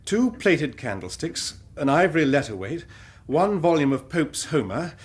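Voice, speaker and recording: An English actor playing a dutiful police inspector in a radio drama, formal, careful and deferential. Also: male voice, a little high, sing-song, normal speed. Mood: patient, awkward.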